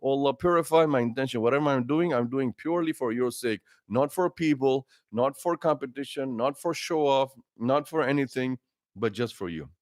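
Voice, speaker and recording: A middle-aged man, an imam, giving an earnest livestreamed religious talk with numbered tips and pauses to greet viewers. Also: mid-range voice, dry recording, clean studio sound.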